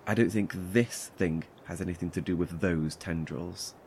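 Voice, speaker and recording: A man acting a weary, haunted male survivor in a horror audio drama. His voice is low and halting, with wry, nervous edges, over a noisy background ambience. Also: fairly even intonation, darkish tone. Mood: hesitant, reassuring. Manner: hesitant, halting, with nervous chuckles and sighs.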